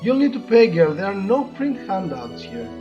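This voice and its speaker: A man's voice: high voice, sing-song, moderate pace. Man doing a curt, scolding woman shopkeeper. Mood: suspicious. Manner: scolding, dismissive. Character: curt, suspicious female shop owner. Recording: muffled lo-fi audio with music.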